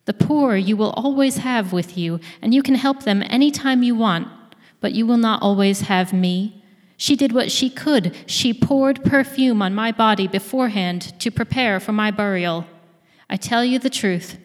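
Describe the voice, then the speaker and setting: Female lay reader, measured scripture reading, echoing sanctuary. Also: on the high side. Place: church sanctuary. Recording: long church reverb.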